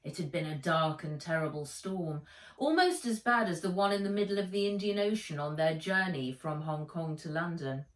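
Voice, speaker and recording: A woman reading a children's adventure story aloud in an expressive, deliberate storytelling manner. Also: low voice. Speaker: author reading own book aloud. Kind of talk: dramatized children's audiobook narration. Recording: roomy echo, distant microphone.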